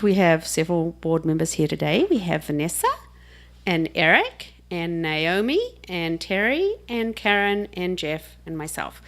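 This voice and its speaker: Chatty New Zealand woman hosting. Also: medium pitch. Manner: chatty and jokey, laughing between remarks. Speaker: host introducing a reader. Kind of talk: casual spoken introduction at a live reading.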